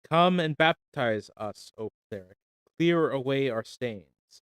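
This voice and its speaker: A man's voice: mid-range voice, animated, takes it slowly, fairly bright tone, smooth, dry recording. A man reading aloud as a withered old woman, once a cursed swan-child, chanting a solemn lay. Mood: solemn pleading.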